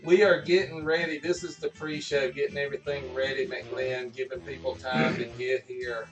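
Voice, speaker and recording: A man's voice: medium pitch, little pitch movement, moderate pace. Distant, roomy-sounding man hosting a casual stream. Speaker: live stream host. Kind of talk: casual pre-show chat. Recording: roomy, distant mic, music behind.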